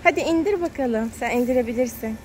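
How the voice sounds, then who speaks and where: A woman's voice: on the high side, fast, darkish tone. A woman narrating casually to a small child as a mother filming a family vlog, encouraging and repeating words in a sing-song way. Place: outdoors at a car wash. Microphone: handheld phone camera, speaker behind it. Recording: outdoor car wash background noise.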